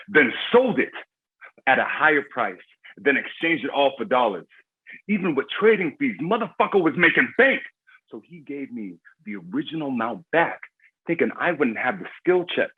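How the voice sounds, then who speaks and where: An actor playing a cocky, foul-mouthed crime boss with swaggering street talk in a screenplay table read. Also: male voice, mid-range voice, slightly rough, phone-quality sound.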